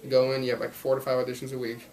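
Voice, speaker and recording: A man's voice: mid-range voice, completely flat delivery, rapid-fire, a little mellow. A young man in his twenties, raised partly in Belgium, talking about his acting career as a guest in an interview. He speaks in an upbeat, rambling way, laughing often. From Belgium and Los Angeles. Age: 20s. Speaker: actor guest. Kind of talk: casual interview talk.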